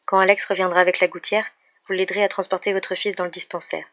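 A storybook narrator voicing a confident, bossy but kind young woman doctor with a brisk, professional manner. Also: medium pitch, little pitch movement, no room sound, natural voice. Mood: calm, practical. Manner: professional, matter-of-fact, commanding. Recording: dull, muffled lo-fi recording.

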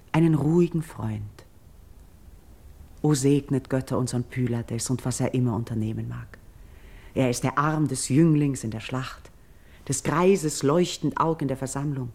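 An actress playing a noble, devout and anxious priestess in a classical radio verse drama, speaking in a solemn, declamatory way. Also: female voice, sits low, dark tone. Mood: solemn, reverent.

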